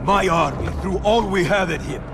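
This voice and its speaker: An actor playing a bitter, sardonic, battle-weary soldier in a video game cutscene. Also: male voice, sits high, normal speed, gravelly, music in the background. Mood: urgent. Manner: sardonic, challenging.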